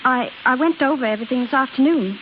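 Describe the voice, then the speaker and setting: Woman as earnest, easily hurt young wife, high voice, old-time radio drama with background hiss. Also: fast, lightly acted. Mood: fond, worried. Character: earnest young wife, anxious, budget-minded, quick to hurt. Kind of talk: 1930s radio acting. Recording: hissy, phone-like old radio recording.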